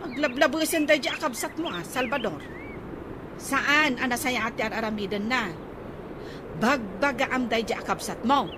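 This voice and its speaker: A woman's voice: a little high, moderate pace, close to an everyday voice. A woman with an Ilocano accent playing an agitated, exclaiming wife in a radio comedy drama, riled over family matters. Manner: exclaiming, indignant. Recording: noisy outdoor ambience with birdsong.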